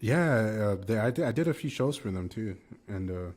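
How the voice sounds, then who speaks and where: Young male rapper guest, deep and low, relaxed slangy delivery, casual podcast talk. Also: moderate pace, a crowd in the background. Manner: laid-back, slangy, frequent "man" and "you know".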